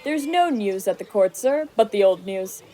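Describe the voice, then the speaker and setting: Here is a woman playing a forthright wrestler from a ducal court in a Shakespeare radio play. The female voice sits at an average pitch, formal and declamatory yet respectful. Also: lively intonation, normal speed, warm tone, a little hiss or hum, natural voice. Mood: matter-of-fact, gossipy. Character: blunt, respectful male court wrestler bringing news.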